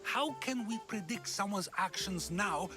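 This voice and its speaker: An actor playing an inquisitive, thoughtful Greek philosopher who poses questions in a video game cutscene. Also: male voice, sits high, a little slow. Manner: questioning, contemplative. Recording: studio voice with game music.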